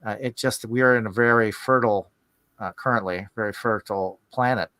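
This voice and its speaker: A man hosting a podcast in casual, rambling talk, musing aloud in halting phrases. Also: fairly low pitch, sharp tone, clear, resonant tone, no room sound. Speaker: podcast host.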